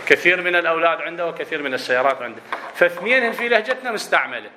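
Iraqi male teacher, bright, raised voice, quizzes his class, echoey room. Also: fairly high pitch, animated, very fast, a little hiss or hum. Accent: Iraqi Arabic. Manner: explanatory, asks students questions, slips into dialect. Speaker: grammar teacher. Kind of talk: classroom lesson on Arabic grammar.

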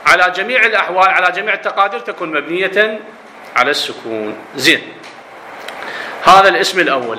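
A man's voice: fairly high pitch, pushed and bright, echoey room, a little hiss or hum, poor audio. A man with an Iraqi accent teaching a grammar lesson, explaining and quizzing his students, slipping into dialect. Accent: Iraqi Arabic. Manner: explanatory, asks students questions, slips into dialect. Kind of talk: classroom lesson on Arabic grammar.

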